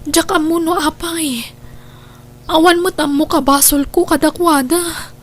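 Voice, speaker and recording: A woman's voice: very high voice, fairly even intonation, measured pace. A woman with an Ilocano accent playing a wronged girlfriend in an audio drama, pleading her case in a plaintive, emphatic way. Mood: troubled. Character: wronged, hurt girlfriend defending herself.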